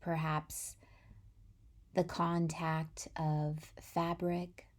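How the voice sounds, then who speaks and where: A woman guiding a body-scan meditation in a gentle, soothing, unhurried way, softly breathy as phrases trail off. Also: deep voice, flat delivery. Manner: gentle, unhurried, soothing delivery with long pauses. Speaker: meditation guide.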